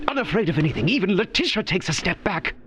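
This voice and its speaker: A man's voice: sits high, very animated, quick pace, fairly bright tone, slight background noise. A man playing a theatrical narrator in an audio drama, telling the story with suspense. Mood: suspenseful.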